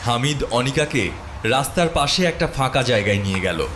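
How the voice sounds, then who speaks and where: A man's voice: mid-range voice, expressive, fairly quick, noisy background. A man reading scripted narration for a dramatised story.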